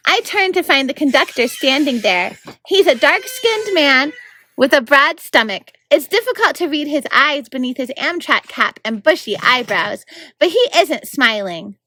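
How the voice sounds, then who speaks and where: A woman's voice: high-pitched, animated, unhurried, sharp tone, no room sound. A woman reading scripted narration from a children's book as host of a story time.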